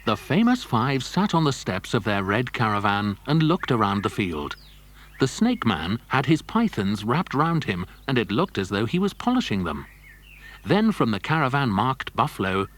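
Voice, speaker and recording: A man's voice: medium pitch, big pitch swings, moderate pace, a bit bright. A man narrating a children's adventure story from a script.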